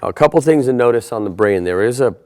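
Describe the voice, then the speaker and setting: A man's voice: medium pitch, lively intonation, fast, darkish tone, no echo. A man presenting a how-to installation tutorial, explaining each step in a plain, methodical way. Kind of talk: step-by-step instructional narration.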